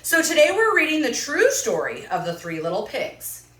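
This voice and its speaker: Lady teacher doing a read-aloud w kids, asks lots of questions, bright voice, bit far from the mic, echoey. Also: on the high side, wildly swooping pitch, normal speed. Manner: prompting, repeats answers back, affirming. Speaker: teacher reading aloud to children. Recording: roomy echo, distant mic.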